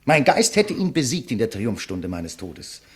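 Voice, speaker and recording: A man's voice: medium pitch, huge pitch swings, normal speed, breathy edge. An actor playing a proud, defiant writer facing his executioner, eloquent and sardonic, in the style of a 1950s German radio play. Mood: impassioned, defiant.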